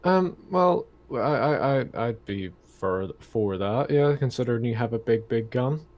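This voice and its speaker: A man's voice: mid-range voice, expressive, measured pace, a little mellow. A man talking casually as a player in a tabletop game.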